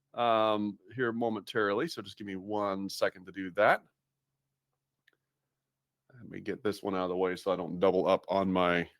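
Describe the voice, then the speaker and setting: A man's voice: on the low side, a bit bright, no echo, studio quality. A man from Massachusetts hosting a live webinar, chatty and upbeat, with lots of fillers and restarts. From Massachusetts, USA. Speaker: webinar host.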